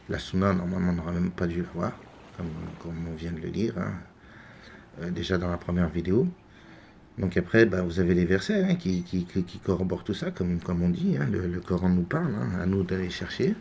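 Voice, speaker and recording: A man from France giving unscripted religious commentary, halting and full of repeated words and fillers. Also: low voice, gravelly, a little mellow, slight background noise. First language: French. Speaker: religious video maker.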